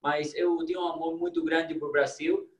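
An enthusiastic young man with an Argentine Spanish accent, speaking his second language warmly and sometimes stopping to search for a word. His voice sits a bit high, and the small room he is in comes through clearly in an echoey sound. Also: little pitch movement, normal speed. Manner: warm, enthusiastic, searches for words at times. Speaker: guest, psychologist. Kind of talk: casual interview over video call.

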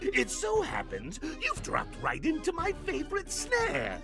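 An actor playing a gleeful, mocking evil circus clown with theatrical delivery in a cartoon video game. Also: male voice, extremely high pitch, huge pitch swings, measured pace, full character voice. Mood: menacing taunting. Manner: theatrical, mocking, shouting often. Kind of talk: animated video game cutscene acting. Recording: music behind the voice.

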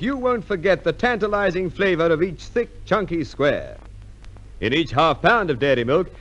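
A male announcer reads a 1950s radio commercial. His voice is rather high and very animated, on a dull vintage recording. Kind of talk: scripted 1950s radio advertisement.

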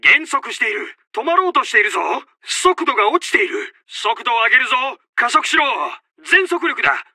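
High, forceful Japanese army officer. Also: male voice, sing-song, moderate pace, dry recording, clean studio sound, heavily acted. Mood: urgent, reporting. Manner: forceful, clipped, barking orders. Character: commanding Japanese army officer, urgent and stern. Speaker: military announcer in a war video game. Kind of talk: shouted tactical voice lines.

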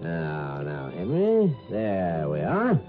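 Casting: sinister smug doctor, low voice, highly animated, fussy muttering, old radio play. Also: male voice, takes it slowly, full character voice. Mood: fussy, absorbed. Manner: muttering, gleefully patronizing. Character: fussy, self-satisfied sinister male doctor. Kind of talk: 1950s radio drama acting. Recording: tinny 1950s radio, music behind.

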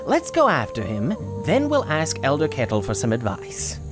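A man's voice: mid-range voice, wildly swooping pitch, moderate pace. A man voicing a stern, wary young mug brother in a cartoon comic dub, earnest and insistent. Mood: determined. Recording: prominent music behind the voice.

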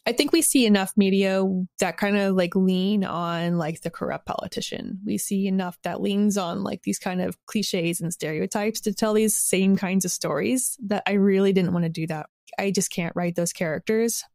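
Creaky-voiced woman in a casual interview. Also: medium pitch, a little mellow, no echo, clean studio sound. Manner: conversational, halting, thoughtful. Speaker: audio drama writer, podcast guest.

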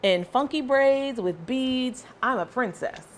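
Woman reading as an animated, proud little girl. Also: sits high, slow pace, slight background noise, voice pushed up. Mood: proud, excited. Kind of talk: children's storybook read-aloud.

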